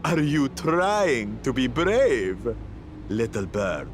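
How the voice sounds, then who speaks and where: An actor playing a menacing, mocking brute villain in a video game, taunting with drawn-out cruel relish. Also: male voice, medium pitch, big pitch swings, soft background music. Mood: mocking threat.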